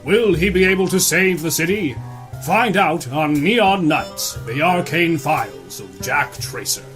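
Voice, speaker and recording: A man's voice: a little high, animated, measured pace, music in the background. A man playing the dramatic, suspenseful narrator of a noir detective radio serial. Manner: suspenseful, theatrical. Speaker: announcer.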